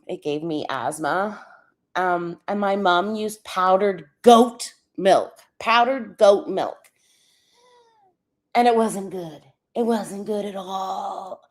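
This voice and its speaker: A woman chatting with stream viewers, laughing often and a little wheezy. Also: lowish voice. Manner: laughs often, reads and answers the chat. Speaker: livestream co-host. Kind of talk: casual chat with stream viewers.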